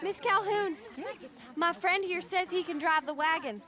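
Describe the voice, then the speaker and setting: An actress playing a spirited, headstrong young suffragette in a video game, eager and bossy. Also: female voice, very high-pitched, unhurried, heavily acted. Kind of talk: video game cutscene acting. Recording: loud crowd behind.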